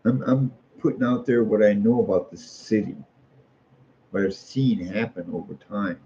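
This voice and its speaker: A man's voice: fairly low pitch, moderate pace, dark tone. A middle-aged American man in a casual, rambling monologue, speaking in a folksy, opinionated way and repeating phrases for emphasis. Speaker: street artist. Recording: muffled lo-fi audio with faint noise.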